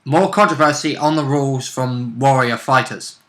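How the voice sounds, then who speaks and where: A man's voice: medium pitch, moderate pace, fairly bright tone, poor audio. A man giving casual, chatty commentary as the second commentator on a show.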